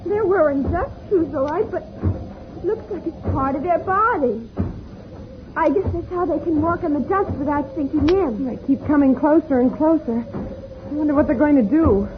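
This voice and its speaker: A woman's voice: sits high, lively intonation, moderate pace. A woman playing a brave, observant space traveler in an earnest, dramatic 1950s radio serial style. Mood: tense wonder. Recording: noisy, narrow-band old radio recording.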